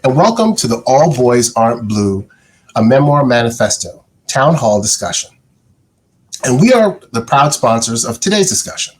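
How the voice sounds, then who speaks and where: A man giving formal welcome remarks as a health center chief executive, with halting phrasing. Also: mid-range voice, very animated, slightly rough, low-quality recording.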